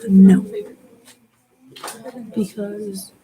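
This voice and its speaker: A young woman, a student, talking casually and reading aloud haltingly during class work. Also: medium pitch, completely flat delivery, background noise. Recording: busy classroom chatter behind.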